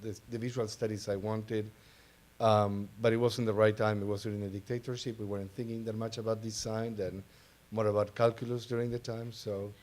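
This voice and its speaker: Man, Argentine accent, lowish pitch, hesitant, pausing, informal panel talk. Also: flat delivery, darkish tone. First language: Spanish. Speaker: panelist, art advisor.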